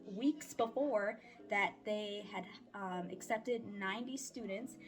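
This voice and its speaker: A woman's voice: mid-range voice, slow pace. A woman in her twenties chatting casually in a life-update vlog, candid and slangy with playful sarcasm. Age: 20s. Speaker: vlogger and voice-acting audio creator. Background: background music.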